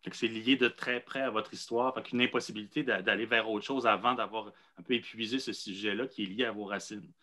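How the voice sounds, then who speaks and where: A man with a Québécois accent hosting a literary interview in a measured, explanatory way. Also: lowish voice, fairly even intonation, sharp tone. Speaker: host, interviewer.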